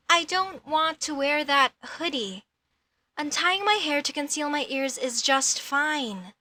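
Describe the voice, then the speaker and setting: High, bright young elf girl played by a woman. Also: no echo, exaggerated voice. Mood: stubborn, reasoning. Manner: formal stilted phrasing, questioning, indignant. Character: young elf mage girl, formal, curious, outspoken, easily flustered. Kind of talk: comedic audio roleplay addressed to the listener.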